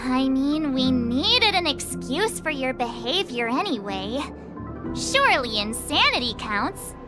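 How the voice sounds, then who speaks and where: Very high, cheeky little creature. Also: female voice, big pitch swings, a little slow. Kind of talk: video game voice acting. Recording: music behind the voice.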